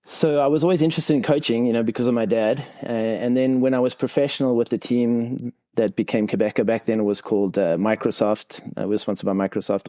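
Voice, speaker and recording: A middle-aged man from South Africa talks cycling coaching in a podcast interview, rambling with frequent uhs. His voice sits low and mellow. The sound is dry and close, with no room around it. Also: no echo. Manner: rambling storytelling with many filler ums and uhs.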